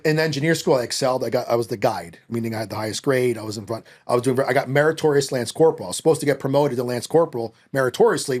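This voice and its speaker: A middle-aged man from the United States telling personal stories as a podcast guest, casual, blunt and profane, acting out dialogue. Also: medium pitch. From United States, born in Italy. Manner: animated, blunt, profane, acts out quoted dialogue. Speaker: podcast guest, former Marine. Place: small podcast studio, indoors. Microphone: close podcast microphone on a boom arm.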